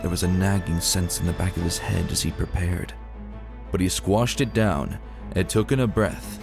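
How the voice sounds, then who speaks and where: A man with a deep voice narrates dark fantasy in a dramatic, scripted style. Soft music runs behind him. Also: normal speed, vocal fry.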